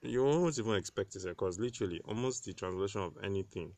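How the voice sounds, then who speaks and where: A man co-hosting a casual podcast about manga and anime who talks excitably, teases his co-host and swears freely. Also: fairly low pitch, fast talker. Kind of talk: casual chat.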